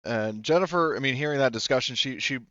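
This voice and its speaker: A man's voice: medium pitch, fast, sharp tone, no room sound, muffled recording. A man narrating a tabletop game as game master in a casual, rambling, explanatory way.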